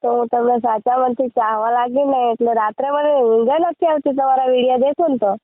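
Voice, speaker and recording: A woman's voice: a little high, steady tone, fairly quick, no echo. Young woman, Gujarati accent, casual phone chat, brief replies, lo-fi dry call sound. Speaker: caller. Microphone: phone line. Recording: muffled lo-fi phone call recording.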